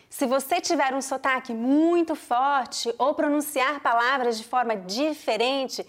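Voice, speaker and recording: A high-pitched woman from Brazil with a mixed regional Brazilian accent. She teaches pronunciation, explaining clearly and deliberately and sounding out examples. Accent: Brazilian Portuguese, mixed regional. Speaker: Portuguese teacher. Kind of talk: pronunciation lesson.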